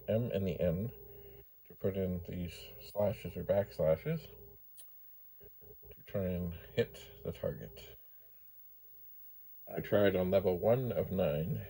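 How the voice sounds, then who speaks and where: A man's voice: deep voice, measured pace, vocal fry, long pauses, warm tone, breathy edge, rough recording. A man hosting a hobby video, giving casual, rambling commentary as he demonstrates, with musing asides to himself. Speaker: host of a retro computer video channel.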